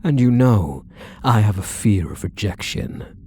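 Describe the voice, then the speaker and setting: A man playing a possessive, doting stalker husband in an intimate ASMR roleplay, speaking softly with coaxing, condescending endearments. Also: lowish voice, animated, a little slow, a little hiss or hum. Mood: doting, smug. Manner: soft, coaxing, condescending endearments, lingering phrases. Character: possessive, doting male yandere stalker husband, sweetly menacing.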